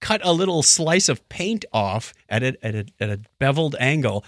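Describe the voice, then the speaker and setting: An American man co-hosting a podcast in casual, jokey chat with an animated delivery. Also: mid-range voice, moderate pace, no room sound, clean studio sound. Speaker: podcast co-host.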